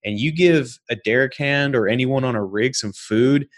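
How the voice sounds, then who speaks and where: A man hosting an industry podcast, talking in a relaxed, casual way and saying "man" and "you know" often. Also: mid-range voice, moderate pace. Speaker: podcast host. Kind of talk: casual interview chat with read ad.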